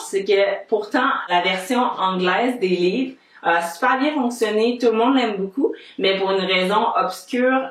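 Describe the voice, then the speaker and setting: Young Québécois woman vlogger, upbeat and chatty, mid-pitched, in a room with audible echo. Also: fairly bright tone. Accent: Québécois French. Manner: chatty and upbeat, lists her reasons one by one. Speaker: book vlogger. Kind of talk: casual chat to camera. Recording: roomy echo.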